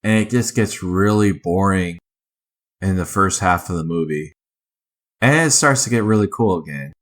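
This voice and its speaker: Low-voiced man giving rambling casual reviews. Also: fairly bright tone. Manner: rambling, self-correcting, halting. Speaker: host of a movie ranking video. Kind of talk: casual off-the-cuff review commentary.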